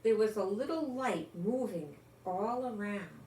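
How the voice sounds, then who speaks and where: An older woman reads a children's storybook at a leisurely pace. She is expressive and speaks directly to young listeners with gentle asides. The room is echoey, with some noise behind her. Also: medium pitch, measured pace. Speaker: storyteller grandmother reading a picture book.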